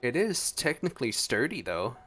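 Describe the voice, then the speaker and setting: A man's voice: mid-range voice, sing-song, normal speed. A man giving casual, musing gameplay reaction commentary in a laid-back way. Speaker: gameplay streamer. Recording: game music in the background. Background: game soundtrack.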